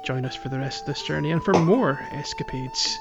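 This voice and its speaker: Male gaming podcast host, fairly high voice, informal and conversational, slightly lo-fi sound. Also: huge pitch swings, fairly quick. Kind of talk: casual gaming podcast chat. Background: music.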